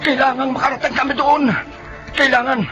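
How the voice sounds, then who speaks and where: Determined spirit fighter, very high voice, urgent, emphatic, horror radio drama. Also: male voice, flat delivery, fairly quick, muffled recording, over music. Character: determined man fighting an evil spirit to save boy. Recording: noisy radio drama track.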